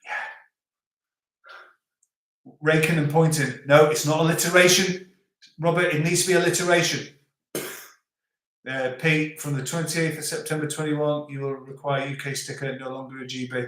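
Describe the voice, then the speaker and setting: A man's voice: a little high, flat delivery, a little fast, a bit bright. Live-stream host, man, toned-down Scouse accent, loose rambling chat, in an echoey room. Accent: softened Scouse. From Liverpool, England. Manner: chatty, rambling, stops and restarts. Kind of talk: casual live chat reading out viewer comments. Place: home in the French countryside. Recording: roomy echo.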